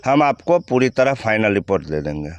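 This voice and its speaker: A man's voice: on the low side, sing-song, brisk, no echo, highs cut off. A man with a Bihari accent giving blunt, outspoken opinions as a news commentator answering questions.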